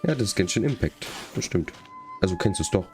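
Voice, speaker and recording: Low-voiced man casually livestreaming a game. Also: lively intonation, fairly quick, a little mellow, faint music behind. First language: German. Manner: chatting to viewers, reading subtitles aloud. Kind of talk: casual live gaming commentary.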